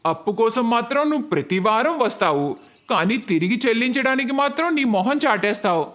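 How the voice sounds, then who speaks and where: A man playing a harsh village landlord and moneylender in an animated story, scornful and demanding. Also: very high voice, brisk, heavily acted. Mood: scornful, threatening. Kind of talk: acted animated moral story dialogue.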